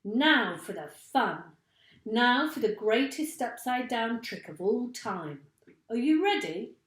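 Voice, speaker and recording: A woman reading a children's storybook as an excitable, bossy male monkey father who barks out orders. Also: on the high side, slow pace. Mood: excited, commanding. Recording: echoey room.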